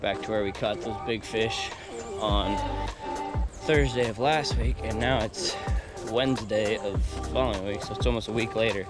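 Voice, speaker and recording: A young man vlogging casually while fishing outdoors at a pond, talking to viewers with excitement over each catch. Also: fairly low pitch, moderate pace, loud music behind the voice. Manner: excited, upbeat, talks to viewers as y'all. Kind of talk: casual outdoor vlog narration. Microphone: action camera on chest or in hand.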